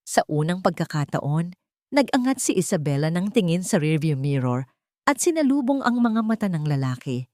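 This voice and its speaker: Lady narrating a dramatic love story, lowish voice, really animated intonation, dry sound. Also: normal speed, no room sound.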